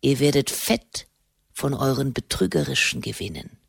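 Grumbling old hermit monk put on by a woman reader, deep and slow, dry close sound. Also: expressive, vocal fry, slightly rough, no echo, heavily acted. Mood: scathing. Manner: growling, cutting. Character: gruff old hermit monk, scornful and sharp-tongued.

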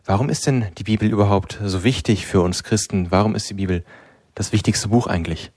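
Low-voiced male radio host, dry studio. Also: a little fast, darkish tone, no room sound. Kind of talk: radio youth show hosting. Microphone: studio microphone.

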